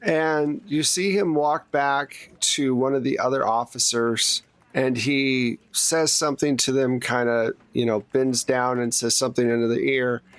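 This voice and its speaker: Male game master, easygoing and joking, casual narration, fairly deep voice, dry close recording. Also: fairly even intonation, measured pace, fairly bright tone, no echo.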